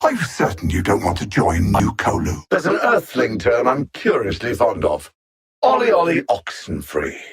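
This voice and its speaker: An actor playing a cold, condescending alien machine intelligence bent on conquest, a video game villain who speaks with measured menace and sardonic understatement. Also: male voice, medium pitch, huge pitch swings, husky, exaggerated voice. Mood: haughty, commanding.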